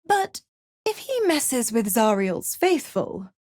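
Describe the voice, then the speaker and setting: A woman's voice: on the high side, big pitch swings, slow pace, a little mellow, no room sound, clean studio sound, exaggerated voice. A woman playing a seductive, mocking devil villain in a video game, theatrical and taunting, with a cruel possessive streak. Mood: possessive, menacing. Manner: sultry, taunting, theatrical, drops into whispers. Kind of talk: dramatic video game voice acting.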